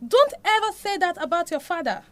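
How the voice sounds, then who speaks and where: A woman's voice: very high-pitched, sing-song, a little fast, no echo. A woman playing an anguished, devout Nigerian mother confessing a painful past in an audio drama. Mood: stern, pleading.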